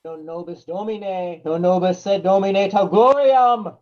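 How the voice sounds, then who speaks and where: An actor playing a monstrous undead devil doctor, chanting ceremonially in an old-time radio horror play. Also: male voice, high-pitched, measured pace, darkish tone, dull audio. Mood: menacing ritual. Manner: incantatory, ceremonial.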